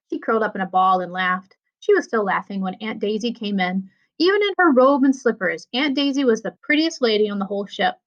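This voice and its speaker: A woman reading a children's story aloud expressively, as a school librarian would. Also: fairly high pitch. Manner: expressive, acts out dialogue. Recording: dull lo-fi sound.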